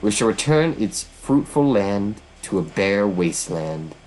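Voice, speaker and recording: Man reading as a mocking, vengeful witch woman, low voice. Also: lively intonation, unhurried, darkish tone, slight background noise, poor audio. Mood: cold, commanding. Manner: theatrical, sardonic, taunting, formal.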